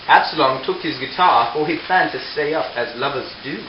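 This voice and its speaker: A man's voice: a little high, fairly quick, a little hiss or hum. A man reading a scripted storybook narration for a puppet show. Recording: echoey, dull lo-fi room with music. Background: music.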